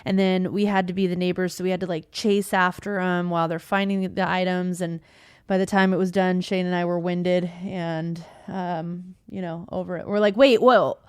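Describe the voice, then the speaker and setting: A woman's voice: on the low side, fairly even intonation, moderate pace, a little mellow, smooth, dry recording, studio quality. Playful chatty woman co-host. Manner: playful, chatty, laughs often. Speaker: podcast co-host. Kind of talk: casual podcast chat.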